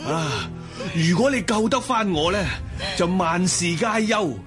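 Groaning, querulous sick husband. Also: male voice, high voice, expressive, a little slow. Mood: bitter. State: ailing. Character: sick, bitter, suspicious cuckolded husband, meek small man. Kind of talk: Cantonese radio drama acting. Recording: music behind.

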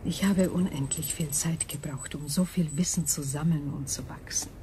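Woman playing ancient crystal mind in a radio play, mellow n breathy, calm, formal, measured, bit of background noise. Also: sits low, natural voice. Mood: reflective, cool. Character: ancient female super-crystal intelligence, calm, probing, wary. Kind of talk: radio drama acting.

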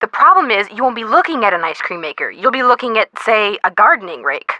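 Perky, animated young game sidekick. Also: female voice, mid-range voice, fast talker, dry recording, rough recording. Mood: perky, wry. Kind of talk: video game hint dialogue.

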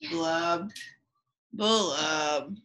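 A woman voicing a glum male storybook fish with a mournful, drawn-out rhyming delivery. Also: sits low, fairly bright tone, pitched below the performer's own voice, full character voice, poor audio. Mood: glum chanting.

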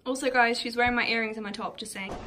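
A woman's voice: on the high side, steady tone, quick pace, pushed and bright, slight background noise. A young woman teasing her sister in casual chat, giggly and joking.

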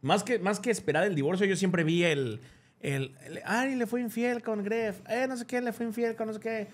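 A man's voice: high-pitched, normal speed. A young man with a Mexican accent, co-hosting a podcast in casual, slangy, jokey chat, laughing often. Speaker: podcast co-host. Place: podcast studio.

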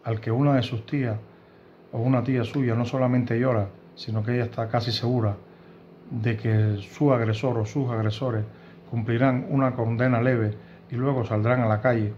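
A man in his fifties from Cuba with a Cuban Spanish accent, giving earnest, indignant news commentary to camera from a room at home, often pausing and restarting. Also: medium pitch, fairly even intonation, dark tone, muffled recording, slight background noise. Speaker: host of a news commentary channel. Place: home room with bookshelves, indoors.

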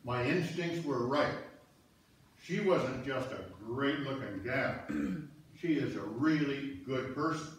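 A man's voice: mid-range voice, takes it slowly. A man playing a plain-spoken, admiring husband in an amateur reader's theater, recorded from afar in a church. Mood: fond, matter-of-fact. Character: plain-spoken husband Murph, former broker, admiring his wife. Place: on stage in a church, far camera. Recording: very echoey hall, distant mic, noisy.

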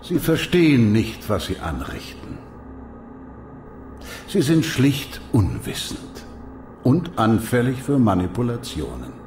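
An actor playing an ancient, noble and wise vampire mentor in a video game, speaking in a solemn, measured, sorrowful way. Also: male voice, medium pitch, sing-song, roomy sound, noisy background. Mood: sorrowful, forgiving. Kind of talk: dramatic scripted game dialogue.

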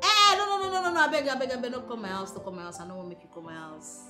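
An actress with a Nigerian Pidgin accent playing a wealthy, bossy madam of the house who talks in loud exclamations. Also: female voice, fairly high pitch, racing, soft background music. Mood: playful. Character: rich bossy Nigerian madam, woman, shrewd. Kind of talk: comedy skit acting.

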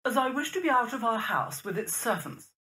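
A woman's voice: on the high side, very animated, fairly quick, bright, forceful tone. A woman playing a severe older Victorian mother-in-law in a period audio drama, formal and reproachful. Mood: stern, reproachful. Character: stern, wounded older mother-in-law, genteel Victorian lady.

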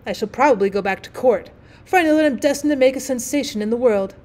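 A woman's voice: on the high side, fast talker, a little mellow, close to an everyday voice. A woman voicing a vain male firework rocket in a storybook, with a haughty, boastful, declamatory manner. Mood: haughty, disdainful.

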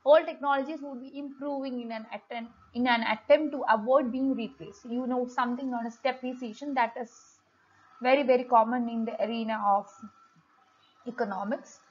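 A woman giving an explanatory lesson as a lecturer, speaking haltingly with frequent pauses and repeated words. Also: fairly high pitch, steady tone, a little mellow, breathy edge. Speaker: lecturer, host of an economics channel. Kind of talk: explanatory lesson read from slides. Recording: dull, lo-fi home recording.

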